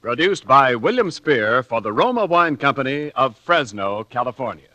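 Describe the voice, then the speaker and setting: Brief: smooth salesman-announcer, animated pitch, dry close sound. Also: moderate pace, dry recording, dull audio, faint music behind. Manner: smooth, persuasive. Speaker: radio announcer. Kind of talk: scripted sponsor banter.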